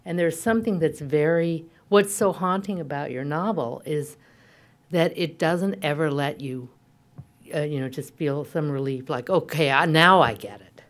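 A woman's voice: deep voice, normal speed. A middle-aged woman hosting an event, giving spoken remarks to an audience and feeling her way through restarted phrases. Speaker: event host. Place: at a podium on an auditorium stage. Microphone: podium mic.

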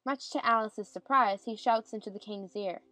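A woman's voice: medium pitch, moderate pace, bright, forceful tone, no room sound. A woman narrating a dramatized audiobook, reading the stage directions as scripted narration.

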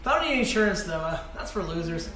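An actor playing a brash, loud party guest who mocks the other guests and laughs at his own jokes. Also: sits high, sing-song, quick pace, very echoey, distant mic, faint music behind, heavily acted, poor audio. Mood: teasing. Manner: mocking, loud, laughing jabs. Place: house party indoors.

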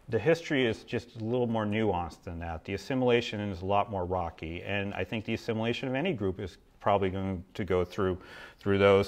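A man giving a history talk at a podium in a lecture hall, then taking audience questions, with a conversational manner and frequent restarts. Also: fairly low pitch.

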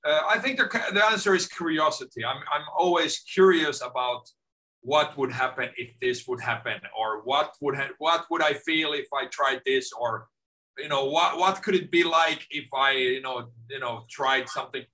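A middle-aged man from Finland, a guest on a video call from his home office, talking in a lively way and often repeating words as he starts a sentence. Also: mid-range voice, normal speed, rough recording. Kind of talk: casual video-call interview.

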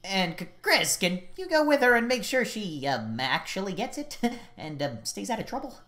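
A woman's voice: medium pitch, very animated, normal speed, a bit bright. A woman voicing a timid, flustered female monster schoolteacher in a video game, hesitant and stammering nervously.

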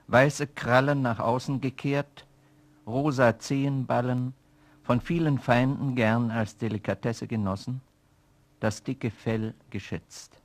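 A man in his sixties reading his own prose in a measured, deliberate way with dry irony, from an old archive recording. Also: mid-range voice. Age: 60s. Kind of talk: slow literary reading.

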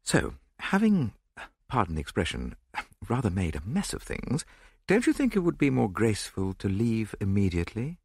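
Man as an earnest, animated gentleman sidekick. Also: lowish voice, moderate pace, creaky, husky, no echo, clean studio sound, heavily acted. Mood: awkward, reproachful. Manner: urgent, tactless, polite.

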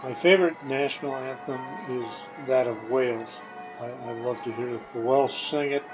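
A man gives informal answers as an interview guest, halting as he thinks. His pitch is average, and music plays clearly behind him. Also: steady tone, poor audio.

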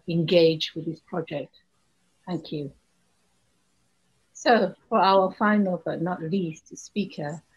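Husky middle-aged woman moderating, halting. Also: deep voice, dull audio. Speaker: conference moderator. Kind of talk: hesitant informal introductions and thanks. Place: indoors on a video call, virtual backdrop. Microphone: video call microphone.

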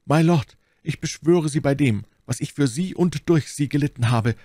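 A man's voice: on the high side, normal speed, mellow, no room sound, studio quality, pitched above the performer's own voice, lightly acted. A man voicing a servant who reads a queen's letter aloud, formal and beseeching, in an audiobook. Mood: beseeching, solemn. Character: queen's imploring letter, read aloud by manservant. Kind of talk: dramatic audiobook letter reading.

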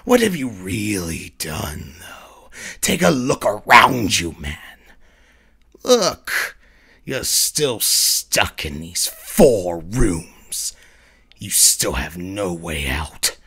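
A man voicing a cruel mirror reflection that sneers and taunts, swinging from hiss to shout in a dramatic reading. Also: sits low, big pitch swings, very slow, vocal fry, breathy edge, full character voice. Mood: raging scorn. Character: cruel mocking male mirror reflection tormenting its owner.

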